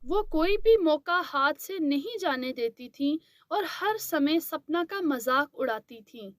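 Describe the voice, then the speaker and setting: High-pitched woman storyteller, slow. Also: animated, no room sound, clean studio sound. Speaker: storyteller, narrator. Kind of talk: scripted children's story narration.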